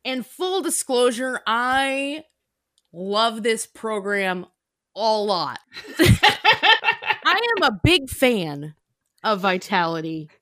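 A woman's voice: a little high, very animated, drawn-out words, long pauses, pushed and bright, dry recording. Chatty laughing woman podcaster, dry sound. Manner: chatty, laughs often, many fillers. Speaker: podcast co-host. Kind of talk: casual chat.

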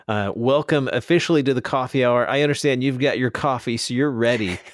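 A man's voice: mid-range voice, fairly quick, dry recording, studio quality. A man hosting a radio show, speaking in a conversational interview style.

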